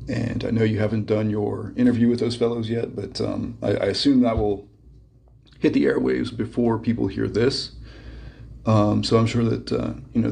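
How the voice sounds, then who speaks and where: Guy with a cold leaving a rambly message, low raspy gravelly voice, lots of ums. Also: a little mellow. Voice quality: gravelly and husky. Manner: rambling, hesitant, many ums and restarts. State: hoarse from a cold. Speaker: caller sending in a contest entry. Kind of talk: casual voice message.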